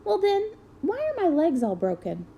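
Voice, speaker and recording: A woman's voice: extremely high pitch, wildly swooping pitch, moderate pace, gravelly, warm tone, a little hiss or hum, voice pushed up, heavily acted. Woman reading as a curious young schoolboy. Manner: questioning, childlike. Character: curious, guarded seven-year-old schoolboy narrator.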